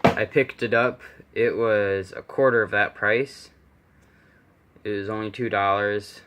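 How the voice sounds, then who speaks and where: Casual male vlogger, lowish voice, unscripted show-and-tell over clearly audible background noise and clicks. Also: fairly even intonation, a little slow, fairly bright tone. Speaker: amateur vlogger showing a vintage radio. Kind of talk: casual off-the-cuff demonstration.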